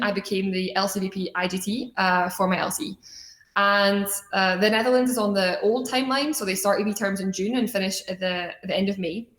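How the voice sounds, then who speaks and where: A woman's voice: mid-range voice, a bit bright. A young adult woman from Scotland gives a motivational personal-story talk in an earnest, conversational way. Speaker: student organization leader giving a keynote talk. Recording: echoey room sound.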